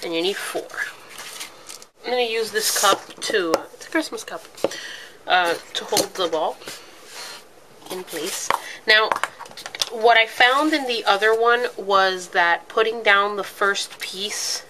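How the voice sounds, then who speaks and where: A woman's voice: mid-range voice, takes it slowly, long pauses, background noise. A woman with a stuffy, congested voice from a cold, chatting casually through a step-by-step craft tutorial with plenty of ums. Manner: chatty, lots of ums, talks while working with her hands. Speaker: craft video host.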